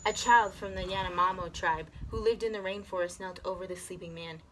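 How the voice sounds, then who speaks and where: A woman's voice: fairly low pitch, steady tone, a little fast, poor audio. A woman reading a children's story aloud expressively, as a teacher does. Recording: clear background noise.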